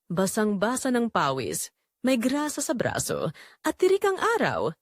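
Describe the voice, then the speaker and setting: A woman with a Filipino accent giving an expressive, measured, scripted narration of a dramatic story. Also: medium pitch, dry recording, clean studio sound.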